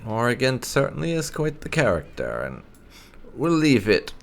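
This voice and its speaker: A man's voice: mid-range voice, huge pitch swings, normal speed, gravelly, a little hiss or hum. A man hosting a gaming video, playfully improvising replies to game characters as light roleplay commentary. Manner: playful, chuckly.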